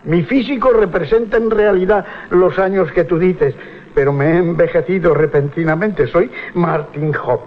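Actor as a weary, decrepit old sailor. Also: male voice, high voice, moderate pace, narrow, tinny audio, close to an everyday voice. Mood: pleading, troubled. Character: prematurely aged decrepit sailor, weary and pleading. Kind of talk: 1980s radio drama acting. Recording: thin, band-limited old radio recording.

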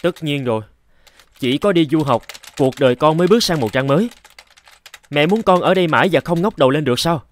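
A man playing a resentful, ambitious teenage son from a poor home in an animated fairy tale, with an accusing, impatient manner. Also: a little high, fairly quick, pauses often, a little hiss or hum, lightly acted. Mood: tense, bitter.

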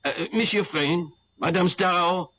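An actor playing a courteous but firm French police inspector in a 1970s radio drama, speaking formally and politely. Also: male voice, high-pitched, a little slow, sharp tone. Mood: stern, formal. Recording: dull vintage radio broadcast, faint noise.

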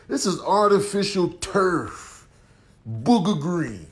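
Wildly animated man roasting in commentary. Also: sits high, slow pace. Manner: exaggerated, incredulous.

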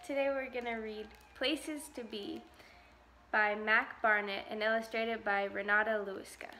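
A woman's voice: fairly high pitch, steady tone, normal speed, sharp tone, slight background noise. A young adult woman reading a picture book aloud to preschoolers as a volunteer, recorded indoors. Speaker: volunteer story reader.

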